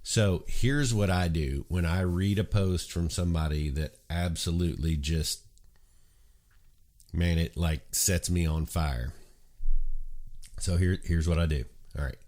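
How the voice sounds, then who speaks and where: A man hosting a casual morning chat show, a little congested from a cold, talking in a relaxed, rambling way full of ums and uhs. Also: sits low, unhurried. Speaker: host.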